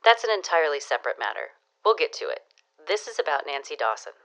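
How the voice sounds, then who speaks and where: A low-pitched female gossip in a period audio drama. She is arch and knowing, dishing local romance news with sly, teasing relish. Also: big pitch swings, quick pace, fairly bright tone, low-quality recording. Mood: eager, teasing.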